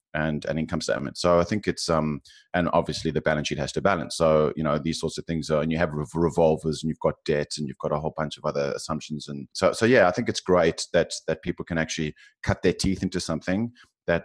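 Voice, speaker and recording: A man in his forties from South Africa with a South African accent, a finance professional speaking as a guest in an interview, thoughtful and hesitant with many fillers and restarts. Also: a bass voice. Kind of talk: podcast interview.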